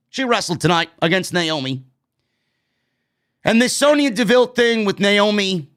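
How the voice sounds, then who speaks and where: Brief: late-30s New York guy, blunt animated wrestling host, higher-pitched voice, close studio mic. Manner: animated, gestures a lot, blunt and swearing. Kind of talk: casual show review. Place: streaming studio with neon bar backdrop. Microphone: broadcast mic on stand, headphones.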